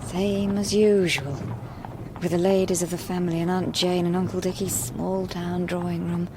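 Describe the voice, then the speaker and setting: An actress playing an outspoken, headstrong married farm woman in a radio drama, homely and expressive. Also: on the low side, moderate pace, natural voice. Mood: moody. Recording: clear background noise of scene ambience.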